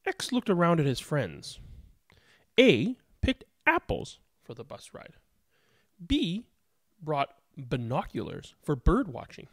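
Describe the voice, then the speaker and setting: A storybook reader telling a children's picture book in an expressive, deliberate way with emphatic word stress. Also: mid-range voice, no room sound, studio quality.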